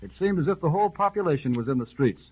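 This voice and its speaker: A man reading a formal news bulletin for an old 1938 radio broadcast, urgent and rapid in his delivery. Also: fairly high pitch, lively intonation, husky, highs cut off. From United States. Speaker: radio newscaster.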